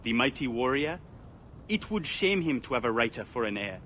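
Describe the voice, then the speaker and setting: A man's voice: medium pitch, very animated, moderate pace, fairly bright tone, a little hiss or hum. A man playing an earnest, bookish young son of an Egyptian warrior in a video game, with a dramatic, poetic way of speaking. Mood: eager, dramatic. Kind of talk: video game cutscene acting.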